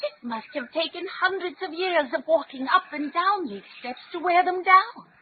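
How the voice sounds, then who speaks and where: An actress playing a determined, commanding heroine in an old-time radio adventure, delivered with earnest melodrama. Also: female voice, extremely high pitch, expressive, moderate pace. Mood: awestruck. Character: determined, dramatic young heroine, commanding and awestruck. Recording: tinny band-limited radio drama, background noise.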